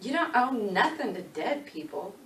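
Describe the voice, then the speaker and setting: A woman's voice: medium pitch, very animated, moderate pace, fairly bright tone. An echoing, slightly distant recording carries a grieving country widow played by a woman. She is plain-spoken and folksy, pleading yet defiant, in a dramatic reading of a novel. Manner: folksy rural dialect grammar, pleading. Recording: roomy, distant, faint background noise.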